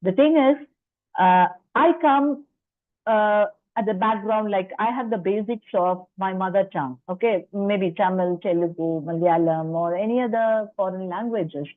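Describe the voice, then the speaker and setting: A woman's voice: medium pitch, measured pace, no echo. A woman lecturer presenting at a faculty workshop, explaining in a chatty way with personal asides and rhetorical questions. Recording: dry tinny phone-like line.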